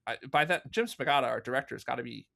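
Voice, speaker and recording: Bright-voiced man chatting as an interview guest. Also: medium pitch, very fast, vocal fry. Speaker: interview guest, fan audio drama producer. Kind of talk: casual conversational explanation.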